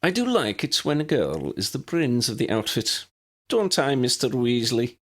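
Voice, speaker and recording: Guy doing a strict old headmistress, high pitched and proper, bit husky, dry wit, audiobook style. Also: very animated, moderate pace, fairly bright tone. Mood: formal, approving. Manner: proper, schoolmistressly, pronouncing. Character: stern elderly head teacher woman, brisk, wry, formal.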